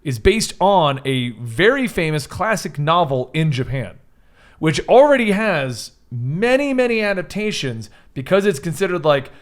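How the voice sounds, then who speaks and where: A man's voice: a little high, sing-song, a little slow. A man co-hosting a casual list discussion, reading items out and commenting in a jokey, self-correcting way. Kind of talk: casual chat with read-out news items.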